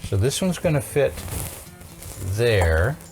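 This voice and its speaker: A man's voice: mid-range voice, very animated, slow pace, a little mellow, soft background music. A man chatting casually as a repair technician, thinking aloud, asking questions and teasing dryly while he works. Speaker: vintage audio repair technician. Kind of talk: casual hands-on repair chat, bantering.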